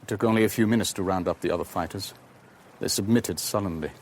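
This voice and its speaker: A male actor is the first-person narrator of a seafaring radio play. He is calm and matter-of-fact, lowish in pitch, with noise and ambience behind him. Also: fast talker, lightly acted. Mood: calm, measured.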